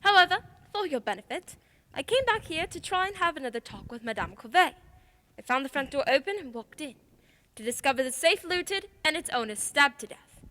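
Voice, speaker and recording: Radio-play lady detective , acted by a woman: crisp bright edge, high voice, clever, formal, unflappable. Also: big pitch swings, brisk, heavily acted. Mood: composed. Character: composed, clever female great detective.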